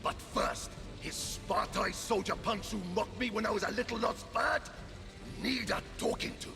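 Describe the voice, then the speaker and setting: An actor playing a vain, touchy, blustering god of war in a video game, bombastic and comically dramatic. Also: male voice, sits high, normal speed, gravelly, over music. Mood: bossy, boastful. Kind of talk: video game cutscene acting.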